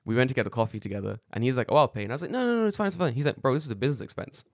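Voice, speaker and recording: A man co-hosting a casual podcast, his voice a little raspy, telling stories with lively acted-out quotes and plenty of swearing. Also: mid-range voice, racing, no echo, studio quality. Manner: animated storyteller acting out quoted dialogue, swears freely. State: slightly raspy voice after karaoke. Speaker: podcast co-host. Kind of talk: casual chat.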